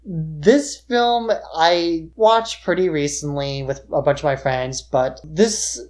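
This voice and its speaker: A man talks as a podcast co-host in a relaxed film discussion format. He explains his opinions informally, in loose conversational sentences dotted with filler words. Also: on the high side, slow pace.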